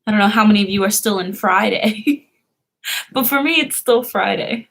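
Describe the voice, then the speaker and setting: A young woman talks to her viewers in a casual live stream. She laughs often and drifts from one thought to the next. Her voice sits in a middle pitch. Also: normal speed, gravelly, a bit bright. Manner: chatty, giggly, answers viewers' comments.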